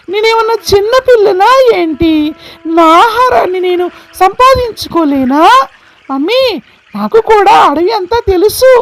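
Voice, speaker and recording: Man doing a squeaky headstrong little fawn girl. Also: vocal fry, a bit bright, heavily acted, poor audio. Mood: insistent. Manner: whiny, sing-song, squeals in fright. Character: cheeky young female fawn, headstrong.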